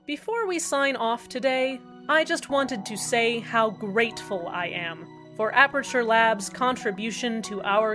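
A woman plays an earnest male radio host from a strange small town, closing his show with sincere thanks tinged with irony. His voice sits a little high for a man. He speaks in a steady broadcast cadence. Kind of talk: fan audio drama acting.

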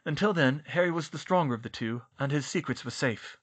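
A man voicing a calm, wise, old male headmaster wizard, speaking in a measured, formal way. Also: a little high, husky.

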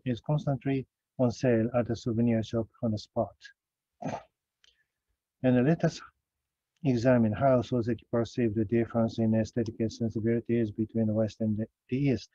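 Man, careful halting lecture. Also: medium pitch, mellow, dry recording, muffled recording. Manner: slow, careful, with frequent hesitations and self-corrections. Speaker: academic speaker. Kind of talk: recorded conference lecture.

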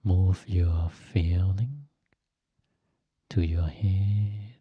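Very slow, low-voiced man guiding meditation. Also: steady tone, breathy edge, no echo, lo-fi sound. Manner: gentle, instructive, phrase by phrase with long pauses. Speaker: Buddhist monk and meditation teacher. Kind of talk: guided meditation.

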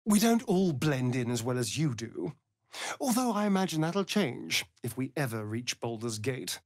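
An actor playing a sly, flamboyant, sardonic vampire rogue in a video game, with a British accent and an arch, camp, teasing drawl. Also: male voice, medium pitch, big pitch swings, normal speed, no echo, clean studio sound. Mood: dry, disdainful. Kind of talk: theatrical video game character acting.

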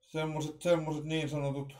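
A man's voice: fairly high pitch, completely flat delivery, darkish tone. A man in his forties casually unboxing walkie-talkies at home, thinking aloud and trailing off with many fillers and restarts. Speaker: vlogger unboxing radios. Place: small room at home with shelves. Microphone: webcam at desk.

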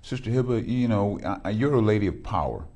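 A man's voice: fairly low pitch, lively intonation, fast talker, dark tone. A middle-aged man from Los Angeles, a pastor hosting a TV studio interview, talking in a rambling way with lots of repeated words. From Los Angeles, California. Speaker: pastor and talk show host. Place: TV studio with backdrop.

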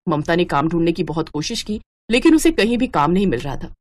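A woman's voice: on the low side, animated, fairly quick, a little mellow, no room sound. A woman narrating a moral story in a scripted storybook style.

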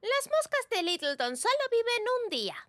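A woman playing a nerdy, curious, excitable young girl in a dubbed children's cartoon, bookish and expressive. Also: very high voice, very noisy. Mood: matter-of-fact. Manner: bookish, expressive, drawn-out exclamations. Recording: music bed under the dialogue.

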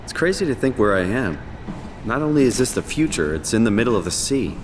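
A man's voice: mid-range voice, very animated, brisk, natural voice. An actor playing a weary, wry everyman stranded in an undersea facility, in a subdued game performance. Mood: musing, wry. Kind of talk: video game voice acting. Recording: echoey, noisy machinery ambience.

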